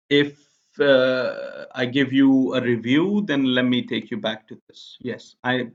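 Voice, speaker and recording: A man's voice: mid-range voice, vocal fry. A young man, an Urdu speaker, explaining a process step by step in a casual tutorial, often checking in with "okay". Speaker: immigration explainer. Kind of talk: casual screen-share tutorial. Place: small indoor room. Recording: slightly dull lo-fi sound.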